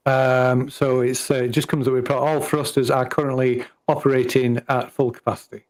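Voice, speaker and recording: A man running a tabletop roleplaying game as game master, halting and often searching for his words. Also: medium pitch, flat delivery, a little mellow. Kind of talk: live tabletop roleplaying session narration.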